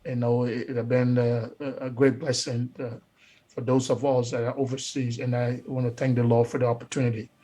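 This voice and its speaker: Liberian pastor guy chatting as a guest, flat steady delivery, says uh a lot. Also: mid-range voice, fairly quick, slightly rough. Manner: earnest, repeats words, many uh fillers. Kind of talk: casual interview.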